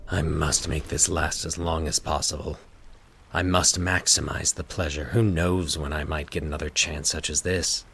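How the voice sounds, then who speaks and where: A man playing a solitary, sinister man in a horror story, solemn, measured and calculating. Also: rumbling low, fairly even intonation, vocal fry, fairly bright tone, a little hiss or hum, pitched below the performer's own voice, full character voice. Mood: sinister musing.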